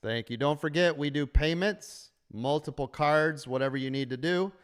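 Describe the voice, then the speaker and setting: Smooth-voiced man hosting a trading stream. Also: normal speed. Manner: upbeat, sales-pitch delivery. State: losing his voice. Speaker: trading coach and host. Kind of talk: live stream chat with members. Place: sunroom full of windows.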